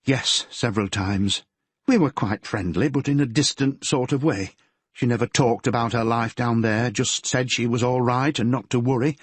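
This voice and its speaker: A man's voice: medium pitch, husky, no room sound, voice pushed up, close to an everyday voice. Man voicing a grieving Yorkshire mother. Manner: gentle, fretful, homely. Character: grieving middle-aged Yorkshire mother, polite, tearful. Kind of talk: audiobook character voice.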